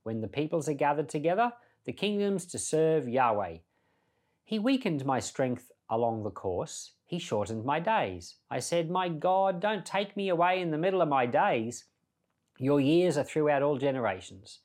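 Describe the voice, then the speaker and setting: A man's voice: on the high side, animated, normal speed, a little mellow. A man speaking as a pastor, reading and explaining scripture in an earnest, conversational, explanatory way. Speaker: pastor and Bible podcast host. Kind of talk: scripture reading and informal Bible commentary.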